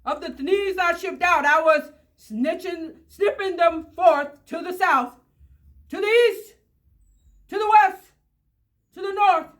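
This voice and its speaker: A woman reading a children's storybook aloud in a theatrical, halting way with fillers. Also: extremely high pitch, fairly bright tone. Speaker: storybook reader at a library.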